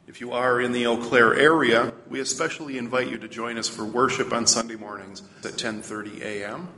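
A man's voice: medium pitch, bright, forceful tone. A man speaking as a pastor, delivering a scripted podcast sign-off. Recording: echoey reverberant room, background noise.

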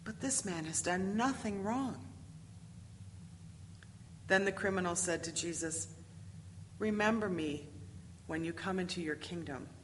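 A woman's voice: lowish voice, unhurried, pauses often, fairly bright tone. A woman giving a dramatic reading of scripture. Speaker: scripture reader. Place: large reverberant church hall. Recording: echoey hall, long reverb, background noise.

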